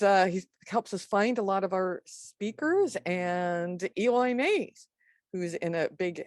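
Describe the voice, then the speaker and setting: A lower-pitched woman hosts an online meeting and runs through housekeeping. She speaks a little haltingly, restarting words as she goes. The sound is dry, with little room in it. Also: a little mellow, no echo. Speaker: meetup host. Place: indoors, on a video call.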